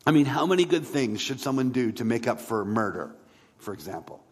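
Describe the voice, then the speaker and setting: A man preaching a sermon as a pastor, in a conversational way with rhetorical questions and emphatic pauses. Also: mid-range voice, sing-song, breathy edge. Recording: long hall reverb.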